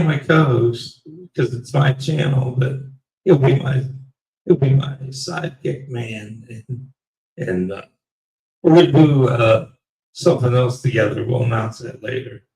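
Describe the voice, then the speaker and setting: A man closing out his live stream in a rambling, halting way, his voice going raspy. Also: mid-range voice, a little mellow, echoey room, far from the mic, rough recording. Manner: rambling, slow and halting with many fillers. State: voice getting raspy. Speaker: livestream host. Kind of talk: casual live stream sign-off.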